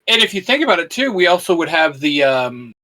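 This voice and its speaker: Bright-voiced man in fan chat. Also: on the high side, very animated, a little fast. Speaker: co-host. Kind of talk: casual fan discussion.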